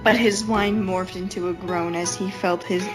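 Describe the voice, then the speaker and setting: A woman with a medium-pitched voice reads aloud as a podcast host. Her delivery is informal, mixing narration with offhand commentary. Music is clearly audible behind her. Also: fairly even intonation, normal speed. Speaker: podcast host reading fan fiction. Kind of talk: casual reading aloud with banter.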